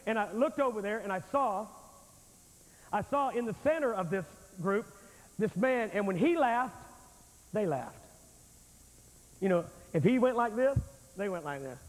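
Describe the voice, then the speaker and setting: A man in his forties preaching a revival sermon on a large church stage with a handheld microphone, telling a story in an animated, confrontational way. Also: sits high, a little slow, pauses often. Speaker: evangelist preacher. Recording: long hall reverb, old VHS tape.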